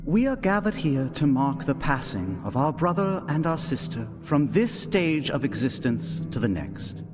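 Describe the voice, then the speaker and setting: An actor playing a solemn male cult leader, preaching a funeral sermon in a measured, lofty, oratorical manner. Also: on the high side, sing-song, over music. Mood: solemn, fervent. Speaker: religious leader. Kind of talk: ceremonial sermon, dramatic audio acting.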